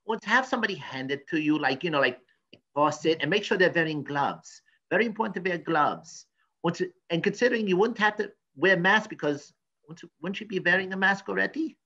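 A man's voice: sits high, animated, brisk, pushed and bright, highs cut off, heavily acted. A man playing a cranky, pompous professor in a comedy news sketch, rambling and stammering. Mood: rambling advice. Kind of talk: improvised mock news interview comedy.

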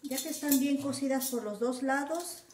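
Mexican lady doing a homely cooking voiceover step by step, kinda high voice, echoey room. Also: normal speed, darkish tone, slight background noise. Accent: Mexican Spanish. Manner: homely, uses many diminutives. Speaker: home cook presenting recipes. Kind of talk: casual cooking voice-over, step by step. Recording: roomy echoing home recording.

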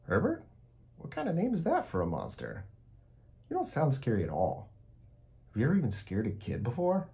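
A man's voice: medium pitch, wildly swooping pitch, normal speed, gravelly, pauses often, a little mellow, voice pushed up. A man voicing an anxious, picky little boy in a storybook, earnest and questioning. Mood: doubtful.

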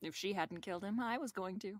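A woman's voice: medium pitch, brisk, dry recording, natural voice. An actress playing a wry, confident female private detective in a radio drama, with a breezy, slangy, wisecracking delivery.

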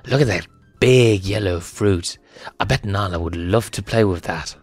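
A man's voice: fairly low pitch, unhurried, no room sound, faint music behind. A man voicing a playful, hapless young male lion cub in an amateur comic dub, stammering and hesitant. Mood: earnest. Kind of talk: amateur comic fandub acting.

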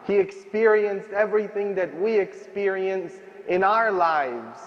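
A man in his thirties preaching a sermon as a priest, slow and emphatic, in a large stone church at a stand microphone. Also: high-pitched, a little hiss or hum. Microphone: stand mic at ambo. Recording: echoey church, distant dull sound.

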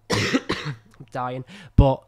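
A young man with a croaky voice from illness, casually commenting on his old film, rambling and self-critical with many fillers, close to a boom-arm mic in a small room. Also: level tone, very slow. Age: young adult. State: ill, croaky voice. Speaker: filmmaker reviewing his own work. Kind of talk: casual commentary over footage. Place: small room indoors at a desk. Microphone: studio mic on a boom arm close to mouth.

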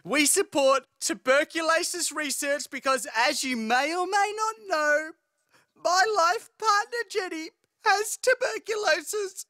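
A man's voice: very high voice, unhurried, sharp tone, dry recording, studio quality, exaggerated voice. A man voicing a cartoon talking stove who hosts a talk show in a folksy, gushing way with an Australian accent. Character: chirpy talking kitchen stove, male talk show host.

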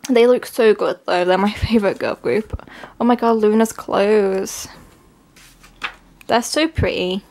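A woman from England with a stuffy, congested voice from a cold, chatting excitedly through an unboxing with lots of fillers. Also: medium pitch, unhurried, pauses often. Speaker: vlogger. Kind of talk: casual unboxing narration. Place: at home, at a table.

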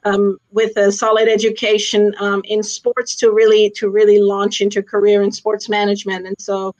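A woman's voice: medium pitch, monotone, moderate pace, a little mellow. A middle-aged woman from Austria who grew up in Switzerland, talking casually and thoughtfully on a video call from a small room, with many fillers. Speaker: sports executive, alumna guest. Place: small indoor room with a plain wall. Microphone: laptop webcam on a video call. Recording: slightly dull video call audio.

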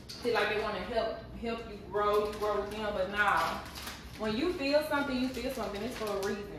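A woman's voice: medium pitch, recorded from a distance, background noise. Echoey, candid woman in her thirties. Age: 30s. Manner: candid, animated, talks straight to viewers. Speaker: vlogger. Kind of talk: casual chat while cooking. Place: home kitchen.

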